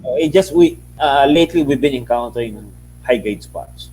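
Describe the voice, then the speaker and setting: A man with a Filipino accent answering as a company executive on a call, explaining figures plainly in short, halting phrases. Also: mid-range voice, animated, darkish tone. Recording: slightly noisy call, faint hum.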